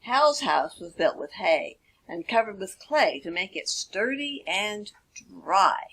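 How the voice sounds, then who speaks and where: A woman reads a picture book aloud at a very slow, deliberate pace. Her voice is husky and fairly high, rising and falling dramatically in expressive storytelling. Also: low-quality recording. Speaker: author reading own children's book.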